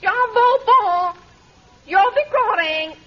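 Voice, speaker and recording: Talking parrot: extremely shrill, very slow squawked phrases, muffled vintage radio sound. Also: female voice, lively intonation, full character voice. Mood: squawking mimicry. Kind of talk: old-time radio drama.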